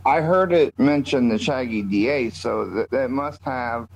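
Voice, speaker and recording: A man taking part in a club chat in a casual, halting way. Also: mid-range voice, a little hiss or hum, rough recording. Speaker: club participant.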